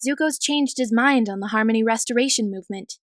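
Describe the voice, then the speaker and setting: A woman voicing an earnest, insistent young girl in an animated-style comic dub. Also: a little high, fairly quick, no room sound, clean studio sound, close to an everyday voice. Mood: concerned.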